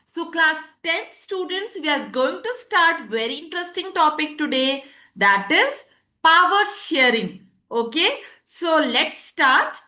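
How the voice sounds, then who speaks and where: A woman's voice: high-pitched, big pitch swings, slow, sharp tone. A woman teaching a live online class with an Indian English accent, repeating points and checking in with students often. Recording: echoey room.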